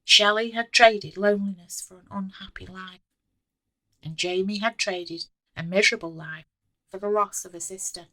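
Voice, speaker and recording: A woman author reading her own story aloud in an expressive scripted narration. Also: mid-range voice, moderate pace, dry recording, rough recording. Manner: expressive, acts out the dialogue.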